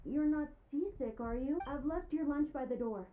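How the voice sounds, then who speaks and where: An actress voicing a caring young woman who gently checks on a friend, concerned and soft-spoken. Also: high voice, normal speed, narrow, tinny audio, a little hiss or hum.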